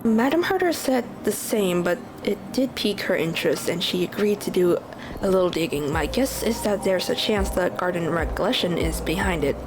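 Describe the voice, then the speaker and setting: A woman's voice: lowish voice, expressive, normal speed, close to an everyday voice, low-quality recording. A woman voicing a composed, thoughtful space traveller as she reads video game dialogue aloud. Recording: game music behind.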